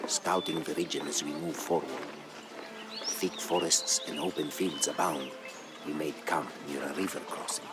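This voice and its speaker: An actor playing an aged, solemn chronicler of military campaigns, narrating a game storyline in a grave, measured way. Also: male voice, a bass voice. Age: older. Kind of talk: dramatic scripted storyline narration.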